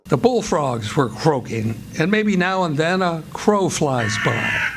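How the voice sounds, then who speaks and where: A man's voice: fairly high pitch, big pitch swings, takes it slowly, background noise. An actor as the narrator of a radio play, an American man telling a river tale in a folksy, descriptive way. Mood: scene-setting. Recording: live stage with music behind.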